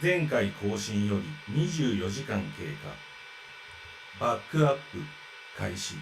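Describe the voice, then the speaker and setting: An actor voicing a formal android reciting system reports in a Japanese visual novel, clipped and procedural, with a Japanese accent. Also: male voice, lowish voice, lively intonation, a little fast, vocal fry, a little hiss or hum, low-quality recording.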